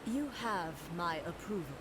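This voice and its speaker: A woman playing a stern, restrained warrior ruler in a video game, speaking formally and in a measured, solemn way. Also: on the low side, very animated, crowd noise. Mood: calm, resolved. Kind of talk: scripted video game dialogue.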